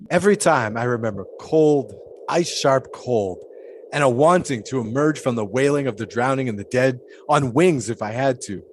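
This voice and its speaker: Male actor as an eccentric, philosophical pole sitter; teasing, dreamy, lively melody. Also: medium pitch, a little hiss or hum, natural voice. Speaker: pole sitter Shipwreck Kelly. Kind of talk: audio drama acting.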